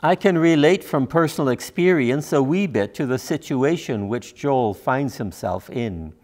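An older man, a minister giving a sermon in a measured delivery from a church pulpit. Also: medium pitch, lively intonation, darkish tone.

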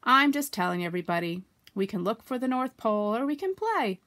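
A woman voicing a gloomy, grumbling, put-upon old male donkey from a storybook, complaining in a slow, resigned, plodding way. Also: fairly high pitch, very animated, fairly bright tone. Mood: glum, resigned.

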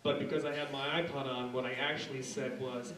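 A man's voice: mid-range voice, little pitch movement, fairly quick. Male stand-up comic, theatrical delivery with mock-formal flourishes, heard at a distance with a crowd around. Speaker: stand-up comedian. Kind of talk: stand-up comedy routine. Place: small dark comedy bar. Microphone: handheld stage microphone. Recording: roomy club sound, distant, audience noise. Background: club audience.

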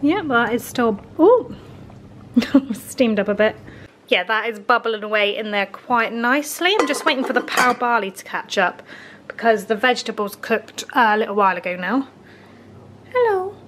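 A woman's voice: fairly high pitch, normal speed, a bit bright. A British woman in her thirties giving a casual, chatty cooking demonstration, informally thinking aloud as she works. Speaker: home baking vlogger. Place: home kitchen, indoors. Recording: household kitchen noise, dish clatter.

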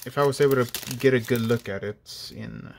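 A man opening trading card packs at home, keeping his voice down so he won't wake others, with a slightly dry voice, reading each card name aloud in a casual, hushed way. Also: mid-range voice, moderate pace, creaky, slight background noise. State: voice a bit off, dry throat. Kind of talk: casual pack-opening commentary. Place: indoors at a table at night.

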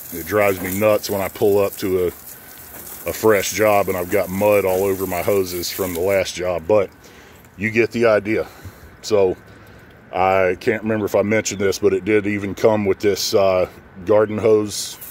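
A middle-aged American man giving an informal, plain-spoken, unscripted how-to talk, filming himself outdoors on a handheld phone. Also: fairly low pitch. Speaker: pressure washing business owner and channel host. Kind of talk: casual how-to vlog, unscripted. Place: outdoors in a residential driveway. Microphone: handheld phone at arm's length. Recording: outdoor phone audio with light background noise.

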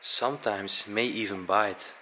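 A young man casually exploring a dark abandoned aquarium, curious and describing what he finds, with short laughs. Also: fairly low pitch, steady tone, a little slow, a little hiss or hum, poor audio. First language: Dutch. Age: young adult. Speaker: urban explorer.

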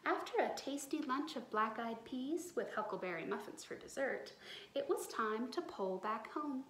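A woman in her thirties reads a children's story expressively, her voice fairly high. She stretches words for drama, and the small room adds echo. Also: expressive, normal speed, fairly bright tone, a little hiss or hum. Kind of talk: expressive picture-book read-aloud for children. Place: small bright room indoors. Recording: roomy indoor echo.

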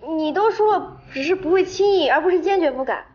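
A woman's voice: extremely high pitch, animated, a little fast, darkish tone, highs cut off. A woman in her twenties playing an eager, persistent young TV planner in a screen drama, earnest and persuasive. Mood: pleading, determined. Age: 20s. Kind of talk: naturalistic screen drama acting.

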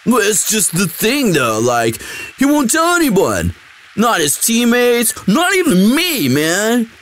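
A man's voice: high voice, huge pitch swings, slow, fairly bright tone, a little hiss or hum, full character voice. A young man playing a sweet, dim, eager athlete boyfriend in a casual, intimate audio roleplay, with a slangy, drawling delivery. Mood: cheerful, chatty.

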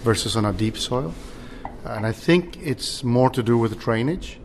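A man from Chile, a winery general manager, talking casually about wine with many halting restarts. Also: mid-range voice, animated, a little hiss or hum. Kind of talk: casual conversation.

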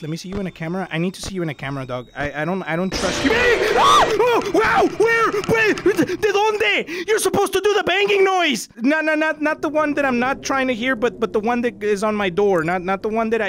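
A young man playing a VR horror game, panicking and yelling, switching between English and Spanish, and losing his voice. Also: extremely high pitch, fairly quick, a little hiss or hum. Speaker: VR gaming YouTuber. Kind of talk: loud, frantic gameplay commentary. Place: indoor room, in front of a green screen.

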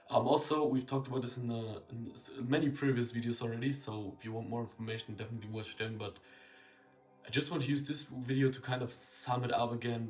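A young man talking to camera in a small room, rambling and blunt, full of fillers and self-corrections. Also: medium pitch. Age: young adult. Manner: candid and blunt, casual swearing. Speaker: marketing consultant. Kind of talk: casual talking-head video. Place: small indoor room with a window.

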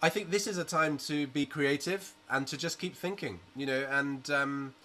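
A man's voice: on the high side, normal speed, pushed and bright, a little hiss or hum. A man in his thirties from Britain, a musician guest on a video-call interview, talking in an earnest, outspoken way from a small attic room. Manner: earnest and outspoken, with you-knows. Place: small home room under a sloped roof. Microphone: laptop webcam on a video call.

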